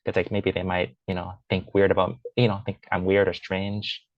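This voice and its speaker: A man's voice: low voice, monotone, moderate pace. Hesitant young man, muffled recording. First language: English; Chinese is his second language. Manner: hesitant, many fillers and restarts. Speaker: interview guest. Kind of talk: casual personal conversation.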